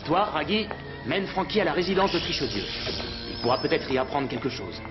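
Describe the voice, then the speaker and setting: A man's voice: on the high side, very animated, brisk, lo-fi sound, over music. A man playing a heroic cartoon rebel leader, earnest, decisive and dramatic. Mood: urgent. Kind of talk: French cartoon dub acting.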